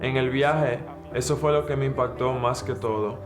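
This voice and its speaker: A man's voice: mid-range voice, steady tone, moderate pace, over music. A young man from the Bronx with a New York Dominican accent, giving a personal testimony. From the Bronx, United States. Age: young adult. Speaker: student and missionary volunteer.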